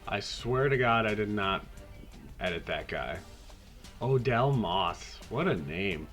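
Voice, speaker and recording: A man hosting a podcast, talking casually through a video game season in a raspy voice, hoarse from a cold, laid-back and rambling with the odd swear. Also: on the low side, lively intonation, slow, fairly bright tone, faint music behind. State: hoarse, getting over a cold. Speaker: podcast host.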